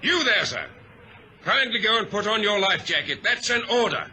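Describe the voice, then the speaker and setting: An actor playing a steady, commanding ship's captain in an old radio drama, speaking crisply and with authority. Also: male voice, high-pitched, moderate pace, heavily acted. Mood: firm, calming. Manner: crisp, authoritative, clipped orders. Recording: hissy vintage radio recording.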